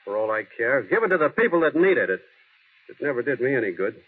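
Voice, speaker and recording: An earnest, plain-spoken small-town man in classic radio drama style. His voice is middling in pitch and a little rough, with simple, halting delivery. It sounds like a narrow, aged broadcast. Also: very animated. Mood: hurt, bitter. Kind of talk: 1930s-40s radio drama acting. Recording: muffled, lo-fi old radio recording.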